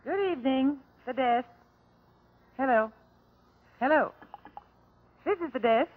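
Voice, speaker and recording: High fretful switchboard girl, tinny. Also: animated, slow. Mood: businesslike. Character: flustered, brisk female switchboard operator. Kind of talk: old-time radio drama acting. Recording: tinny, narrow old radio recording.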